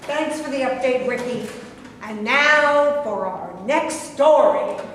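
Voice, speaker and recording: Woman acting a high-voiced sports anchor, echoey hall. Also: slow pace, fairly bright tone. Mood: composed, brisk. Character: composed female sports show anchor. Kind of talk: staged reading of a scripted broadcast. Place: hall at a staged reading. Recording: very echoey hall, distant mic, faint noise.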